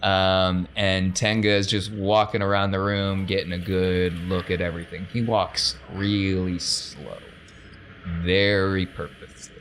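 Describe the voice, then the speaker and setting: A man's voice: low-pitched, little pitch movement, a little slow, fairly bright tone. Casual male game master, faint room noise. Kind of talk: narrating a tabletop role-playing game.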